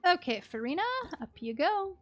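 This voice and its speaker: A young woman live-streaming a game, chatting casually with an expressive, playful manner. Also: high-pitched, moderate pace. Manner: expressive, playful, exclaims and chants little words.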